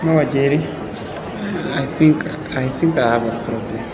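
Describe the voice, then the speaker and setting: A man's voice: mid-range voice, measured pace, close to an everyday voice. A man acting as a troubled, hesitant student confiding in a friend in a radio drama. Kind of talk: radio drama dialogue, code-switching casually. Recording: echoey, noisy public space with crowd.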